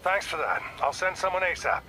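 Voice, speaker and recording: A man's voice: high-pitched, brisk, sharp tone, slight background noise, poor audio. A man voicing an older police detective in a video game, world-weary, guarded and matter-of-fact in his talk. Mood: matter-of-fact, wry.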